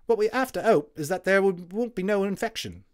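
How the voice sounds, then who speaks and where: A man's voice: high-pitched, expressive, brisk, voice pushed up. A man voicing a talkative Cockney First World War army medic in a storybook reading. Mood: brisk. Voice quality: chatty, rough-and-ready working-class speech.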